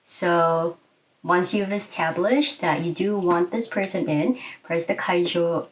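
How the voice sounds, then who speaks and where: A woman's voice: on the low side, takes it slowly, recorded from a distance, poor audio. A young adult woman giving a casual step-by-step explanation to camera in a small indoor room. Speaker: video host and presenter. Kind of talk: informal instructional explainer to camera.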